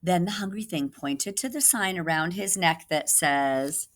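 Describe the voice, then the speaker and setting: A woman teacher reading a children's story aloud expressively, pausing to put questions to the listener. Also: on the low side.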